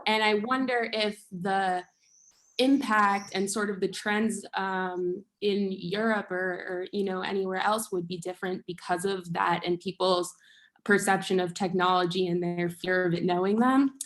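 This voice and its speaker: An American woman from the audience asking a question in an academic discussion, hesitant, with many ums, and trailing off softly. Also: medium pitch, fairly even intonation, unhurried. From United States.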